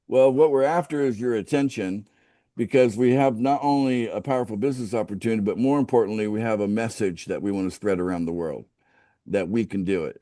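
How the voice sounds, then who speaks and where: A middle-aged American man from the West Coast, pitching a business opportunity on a casual group call, often restarting sentences and asking the group for agreement. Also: warm tone, no echo, studio quality. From United States, West Coast. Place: outdoors by a home pool.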